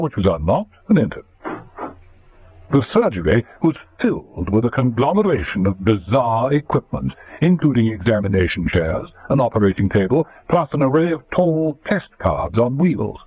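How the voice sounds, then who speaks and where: A man's voice: mid-range voice, very animated, normal speed, a little mellow, slightly rough. A man reading dramatic scripted narration as the narrator of a radio serial.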